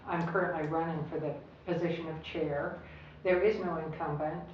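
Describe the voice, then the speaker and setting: A woman from Washington State introducing herself as a candidate on a panel, halting at times with small laughs. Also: sits low, steady tone, darkish tone, a little hiss or hum. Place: public meeting room. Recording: echoey room from far off, dull.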